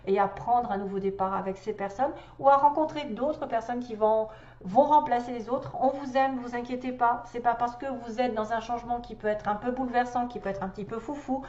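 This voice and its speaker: Tarot reader, woman, slightly high voice, stuffy with a cold, chatty and hesitant; echoey room, dull recording. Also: fairly quick, mellow, a little hiss or hum. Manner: chatty, hesitant fillers, laughs often. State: has a cold. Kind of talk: tarot reading guidance.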